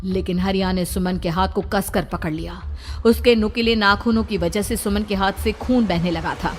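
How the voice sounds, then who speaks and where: A woman's voice: mid-range voice, normal speed. A woman narrator reading a scripted horror story in a dramatic style. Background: music.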